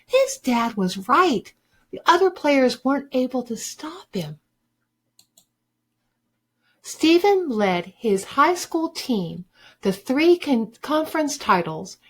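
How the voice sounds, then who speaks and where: A woman reads a picture book aloud in the manner of a schoolteacher. Her voice sits fairly high and moves expressively up and down. She takes her time, placing deliberate emphasis for young listeners. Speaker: teacher reading a children's book aloud. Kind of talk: expressive storybook read-aloud.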